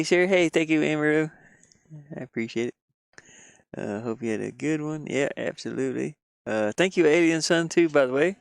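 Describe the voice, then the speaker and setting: Male game streamer, low gravelly voice, loose rambling chat, close and dry. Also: no echo. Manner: rambling, mutters to himself while working. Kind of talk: casual live-stream chat.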